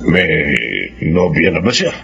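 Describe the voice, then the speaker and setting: A man playing a protective, probing middle-aged father with a Filipino accent, deliberate, in a radio drama. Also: deep voice, animated, fairly bright tone. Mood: grave, probing. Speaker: drama voice actor. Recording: dull lo-fi audio with hum.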